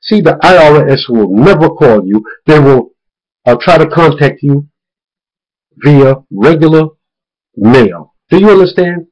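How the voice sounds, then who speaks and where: A man's voice: fairly high pitch, lively intonation, unhurried, a little mellow, muffled recording. A man speaking informally to viewers in an emphatic way, warning and retelling, repeating words for stress. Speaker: video blogger warning viewers about a phone scam. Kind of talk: informal spoken warning with retold phone conversations.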